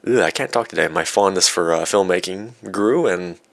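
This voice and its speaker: Raspy young man with a low voice. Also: normal speed, dry recording. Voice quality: raspy from a cold. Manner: rambling, filler-heavy, self-mocking. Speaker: video creator. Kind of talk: unscripted vlog.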